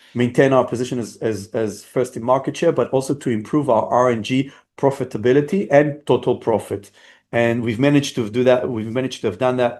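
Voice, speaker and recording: A man speaking as a company executive in a business results presentation, halting as he repeats and rephrases his phrases. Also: mid-range voice, darkish tone. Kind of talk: semi-scripted earnings call presentation.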